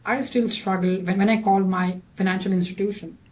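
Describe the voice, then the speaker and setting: A man's voice: high-pitched, steady tone, fairly quick. Indian-accented male podcast host. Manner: restarts sentences often, tags "right?". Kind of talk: interview questions.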